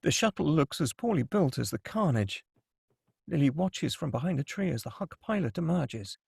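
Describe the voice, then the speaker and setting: A man narrates a screenplay's stage directions with dramatic intent. His voice sits a little high with plenty of fry and a slight husk, recorded close and dry. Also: lively intonation, moderate pace, a little mellow, dry recording. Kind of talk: dramatic scripted narration at a script table read.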